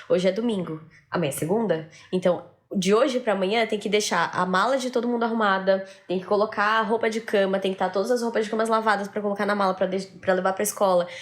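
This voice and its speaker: A woman in her thirties hosting a podcast, talking in a chatty, lively and emphatic way. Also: medium pitch. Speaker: podcast host. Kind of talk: casual podcast chat.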